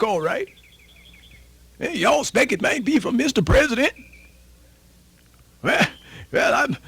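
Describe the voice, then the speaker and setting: A man playing a folksy, cheerful old country storyteller, stammering and muttering in a gravelly voice for radio theatre. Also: very high voice, bright, forceful tone, exaggerated voice. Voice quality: creaky, gravelly. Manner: stammering, muttering, drawn-out rustic talk. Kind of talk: radio theatre character acting.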